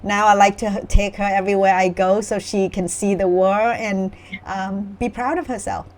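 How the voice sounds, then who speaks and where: A woman's voice: medium pitch, steady tone, normal speed. A middle-aged woman from Vietnam, telling her personal story in an earnest, reflective way as a guest author. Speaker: memoir author, panel guest.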